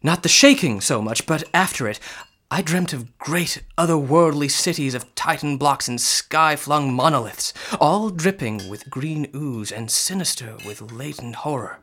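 Earnest, higher-voiced young sculptor. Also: male voice, animated, a little slow, fairly bright tone, husky, exaggerated voice. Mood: feverish, awed. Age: young adult. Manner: earnest, florid, urgent, deferential. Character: intense, earnest young male sculptor haunted by dreams. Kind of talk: radio drama acting.

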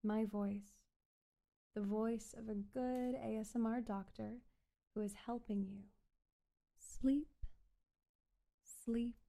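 A woman performing an ASMR hypnosis roleplay as a calm, controlling doctor, speaking in a soothing, repetitive, coaxing way. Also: a little high, gravelly. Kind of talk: ASMR hypnosis roleplay, guided relaxation script.

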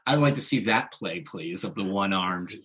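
Low-voiced male interviewer. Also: animated, moderate pace, a bit bright. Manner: attentive, gives short backchannel replies. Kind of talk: interview conversation.

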